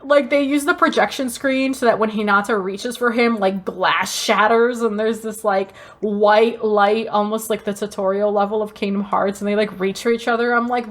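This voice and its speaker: A woman co-hosting a podcast in casual chat, talking in an emphatic, gushing way and swearing casually. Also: mid-range voice. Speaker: podcast co-host. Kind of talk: casual chat, fan review.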